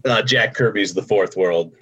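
A man with a husky voice chatting casually as a podcast guest. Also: lowish voice, moderate pace, a bit bright, lo-fi sound. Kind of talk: casual profane group chat.

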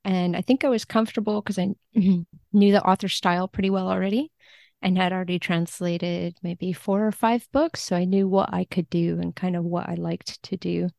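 A woman chatting casually as an interview guest, telling things in an animated way and acting out quoted thoughts. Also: lowish voice, moderate pace, creaky, no echo, clean studio sound. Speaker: podcast guest, book translator.

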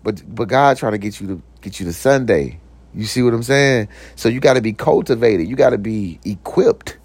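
A man's voice: expressive, normal speed, darkish tone, slightly rough, no room sound. A young man hosting his own podcast, talking loosely with lots of slang and "you know what I'm saying", joking around as he goes. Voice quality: voice recently got deeper. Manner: jokes around, puts on silly voices. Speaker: podcast host. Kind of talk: casual motivational talk.

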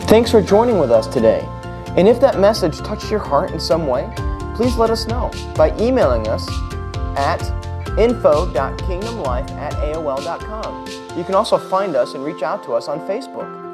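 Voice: medium pitch, sing-song, normal speed. Podcast outro announcer over music. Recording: music bed under voice.

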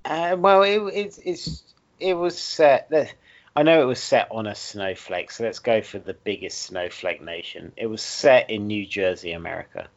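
A man's voice: on the low side, animated, normal speed. Rambling English man with an Essex accent. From Essex, England. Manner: rambling, argumentative, self-correcting. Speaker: podcast host. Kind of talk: casual banter. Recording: dull lo-fi sound.

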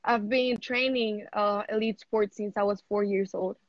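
A woman's voice: medium pitch, fairly even intonation, a little slow, no echo, dull audio. Teen girl from Mexico, chatty and dry-sounding. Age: teens. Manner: chatty, heavy use of "like". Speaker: interviewee, athlete. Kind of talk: casual interview chat.

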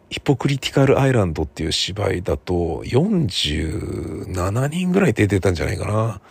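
A man talking casually on his own, thinking aloud in a rambling, self-correcting way with a gravelly, husky voice. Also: deep voice, a little mellow. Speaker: radio drama writer and theatre playwright.

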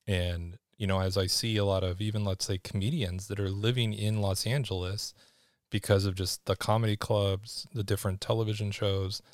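A man in his forties talks through a casual podcast conversation, his voice sitting on the low side. He is animated and acts out little exchanges with other people. The sound is dry and close. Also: moderate pace, no room sound. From United States. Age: 40s. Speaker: podcast host.

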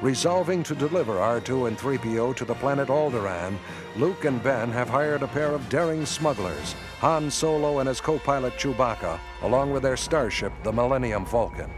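A man narrating a radio drama from a script in a measured, dramatic manner. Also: medium pitch, animated, music in the background, close to an everyday voice.

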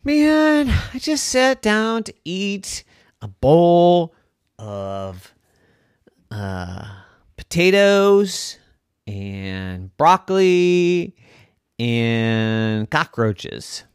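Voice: mid-range voice, sing-song, extremely slow, clean tone, no echo, studio quality, pitched above the performer's own voice. A narrator voicing a drowsy magical zebra. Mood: grumbling. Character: lazy magical zebra who eats cockroaches. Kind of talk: bedtime storytelling.

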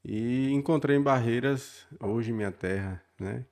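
A man's voice: medium pitch, measured pace. A man in his forties from Bahia with a Bahian accent, a podcast guest telling his life story in an earnest way. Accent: Bahian Brazilian Portuguese. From Bahia, Brazil. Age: 40s. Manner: warm and earnest, frequent tag questions. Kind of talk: casual interview storytelling.

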